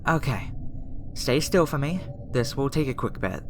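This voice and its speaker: A woman playing a gentle, conflicted young alien scientist in an ASMR roleplay, speaking softly and hesitantly straight to the listener. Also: very deep voice, animated, normal speed, noisy background. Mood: calm, reassuring. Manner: soft, hesitant, talking directly to the listener.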